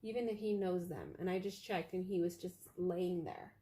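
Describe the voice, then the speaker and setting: A young woman with a naturally loud voice talks casually to her viewers from a home office. She meanders between thoughts with plenty of fillers. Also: fairly low pitch, moderate pace, husky. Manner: chatty, meandering, lots of fillers. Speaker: craft vlogger. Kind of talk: rambling casual chat to viewers.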